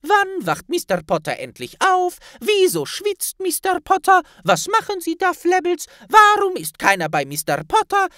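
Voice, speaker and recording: A man voicing a tiny, squeaky, fussy male house-elf servant who scolds politely in an audiobook. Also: wildly swooping pitch, unhurried, hardly any pauses, bright, forceful tone, no echo, clean studio sound, full character voice. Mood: exasperated. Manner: scolding, servile third-person address. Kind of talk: audiobook character voice.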